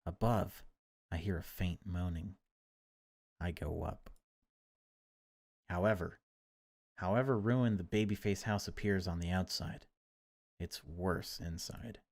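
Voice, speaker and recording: A man reading horror game text aloud as dramatic narration, in deliberate, broken phrases. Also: deep voice, darkish tone, dry recording, clean studio sound. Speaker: gaming video creator reading a visual novel aloud.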